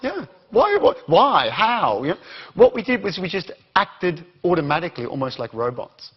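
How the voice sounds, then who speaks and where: Australian male keynote speaker, husky, higher-pitched, dull lo-fi capture. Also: normal speed. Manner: animated, questions the audience. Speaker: conference speaker. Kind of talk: interactive keynote talk.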